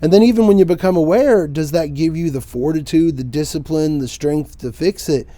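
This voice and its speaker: A man in his forties talks in a mellow, warm voice as a podcast guest, earnest and reflective as he tells his story. The sound is close and dry, with no room echo. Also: a little high, a little fast. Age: 40s. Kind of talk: casual podcast conversation.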